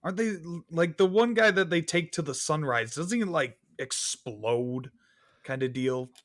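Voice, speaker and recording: A man's voice: fairly high pitch, unhurried, a bit bright. A man chatting casually and giving his opinions as a guest on a film podcast.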